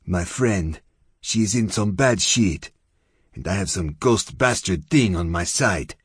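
A man's voice: low-pitched, expressive, measured pace, slightly rough, no room sound, clean studio sound, exaggerated voice. A man voicing a fierce, foul-mouthed young gang girl with an intense, theatrical delivery. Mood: grim. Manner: intense, theatrical, non-native phrasing.

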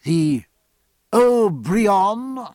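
A man's voice: sits high, very animated, drawn-out words, darkish tone, dry recording, studio quality, voice pushed up, exaggerated voice. A man voicing a jovial, teasing British naval captain with bluff, exclamatory, old-fashioned speech. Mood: hearty.

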